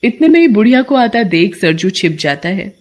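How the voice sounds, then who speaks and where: A woman's voice: medium pitch, very animated, normal speed, a little mellow, a little hiss or hum. A woman narrator reading a scripted cartoon folktale in a storytelling style.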